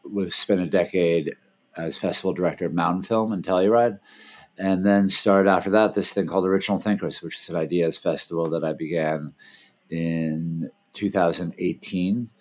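A man's voice: sits low, normal speed. A man in his fifties from Washington, D.C., a filmmaker, talking casually and hesitantly in a video call interview. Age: 50s. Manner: relaxed, hesitant, many fillers. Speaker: filmmaker and festival founder, guest.

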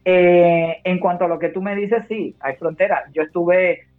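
A woman's voice: lowish voice, fairly even intonation, measured pace, faint music behind. A woman from Cuba, a war correspondent and nurse, speaking emphatically and with urgency in long turns during a phone interview. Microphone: phone call. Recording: tinny phone line.

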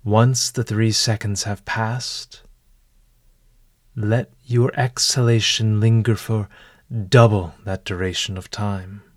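A man narrating a guided meditation in a formal, measured, literary manner. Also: lowish voice, flat delivery, no echo, clean studio sound.

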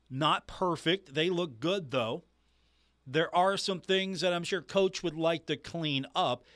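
A man's voice: medium pitch, fairly bright tone, dry recording. A man from West Virginia hosting a sports talk radio show in a conversational monologue, repeating phrases and putting questions to listeners. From Huntington, West Virginia, United States.

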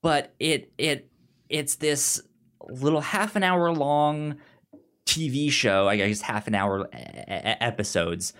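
A man co-hosting a film podcast, chatting casually and haltingly as he searches for words. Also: medium pitch, fairly bright tone. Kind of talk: casual film discussion and recommendations.

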